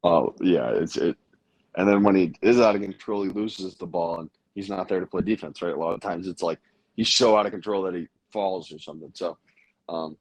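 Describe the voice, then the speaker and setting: A young man with a raspy, tired voice, hosting a casual sports chat and rambling with many fillers. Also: sits low, fairly quick, no room sound, rough recording. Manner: rambling, lots of fillers and restarts. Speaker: podcast host.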